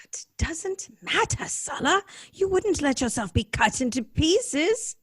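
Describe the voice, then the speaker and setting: A woman's voice: high voice, big pitch swings, slow, no room sound, studio quality, full character voice. An actress playing an earnest, tender-hearted and naive young lady in an old-time radio drama, questioning and emotive in her delivery. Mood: tender, pleading. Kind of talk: live recreation of 1930s radio drama.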